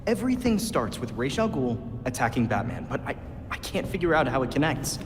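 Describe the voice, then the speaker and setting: An actor playing a brainy, tech-minded young sidekick in a video game, with an American accent and an earnest, analytical delivery. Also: male voice, medium pitch, big pitch swings, fairly quick, noisy background, lightly acted. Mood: earnest, puzzled. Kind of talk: video game cutscene acting. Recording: long reverb, music behind.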